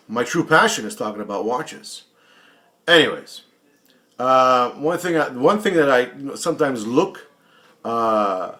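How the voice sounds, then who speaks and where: A man's voice: mid-range voice, a bit bright. Forty-something guy swearing nonstop, blunt and mocking, says stuff twice for effect. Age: 40s. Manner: blunt, heavily profane and taunting; repeats and corrects himself for emphasis. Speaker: YouTube watch channel host. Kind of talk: casual answers to another vlogger's questions.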